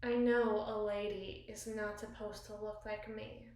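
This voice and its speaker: A woman playing a battle-scarred, commanding evil queen in an intimate ASMR roleplay, speaking formally and wistfully with deliberate, theatrical pauses. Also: mid-range voice, level tone, a little mellow, close to an everyday voice. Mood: proud, reflective. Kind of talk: ASMR roleplay, slow dramatic monologue. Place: small bathroom, indoors. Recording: echoey tiled bathroom.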